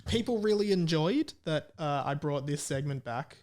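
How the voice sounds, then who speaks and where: A man's voice: a little high, lively intonation, normal speed, darkish tone. An Australian man hosting a podcast solo in a casual, rambling, irreverent comedic style.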